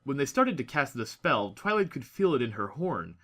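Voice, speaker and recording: A man reads scripted fiction as a dramatic audiobook narrator. His voice sits at an average male pitch and has a bright, forceful tone. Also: big pitch swings, moderate pace.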